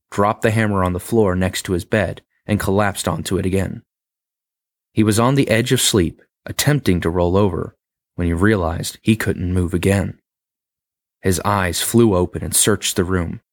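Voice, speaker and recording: A man with a very low voice narrates a horror tale, taking his time over each sentence and leaning into the suspense. The sound is close and dry, with no room around it. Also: no echo. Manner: measured, dramatic pacing. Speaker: story reader. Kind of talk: scripted horror story narration.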